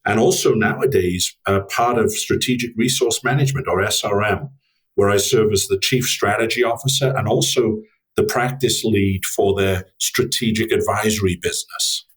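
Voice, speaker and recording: A man in his fifties from Wales, with a slight Welsh accent, answering interview questions courteously and in measured terms as a guest. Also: on the low side, rough recording. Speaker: guest, strategy executive.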